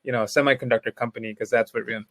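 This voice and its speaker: A man from Pakistan telling his story as a panel guest, relaxed and reflective, often saying "you know". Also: medium pitch, racing. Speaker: panelist, entrepreneur. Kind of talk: conversational storytelling.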